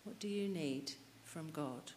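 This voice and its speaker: A woman's voice: sits low, unhurried, darkish tone. A middle-aged woman preaching a sermon at a church lectern mic, earnestly putting questions to the congregation. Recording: reverberant church hall, light hiss.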